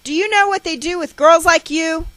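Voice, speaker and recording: A woman storyteller voicing an enraged, abusive mother, harsh and shouting, who scolds and threatens. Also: extremely high pitch, moderate pace, no room sound, pitched above the performer's own voice. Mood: furious scolding.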